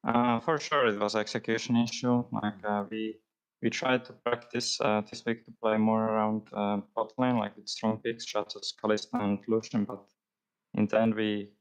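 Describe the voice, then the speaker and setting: A man's voice: on the low side, little pitch movement, normal speed, smooth, low-quality recording. A man being interviewed after a match as an esports player, giving brief and hesitant answers.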